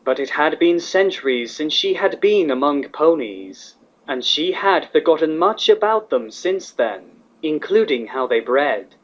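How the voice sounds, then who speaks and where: A man's voice: a little high, expressive, a little slow. A man reading scripted fantasy fiction as the narrator. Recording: slightly dull, lo-fi recording.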